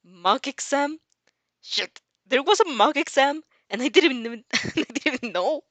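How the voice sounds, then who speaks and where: A woman's voice: high voice, animated, a little slow, fairly bright tone, no room sound, voice pushed up. Cheeky, mock-polite student played by a woman, teasing, dry close recording. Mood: dismayed. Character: cocky, teasing student who needles her rival. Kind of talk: amateur audio fanfic acting.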